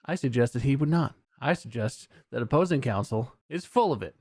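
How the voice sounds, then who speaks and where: Man playing a bombastic, animated prosecutor. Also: mid-range voice, brisk, no echo, clean studio sound, close to an everyday voice. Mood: accusing. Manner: dramatic, insistent rhetorical delivery. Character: bombastic, accusing male prosecutor addressing a jury. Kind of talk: mock courtroom argument.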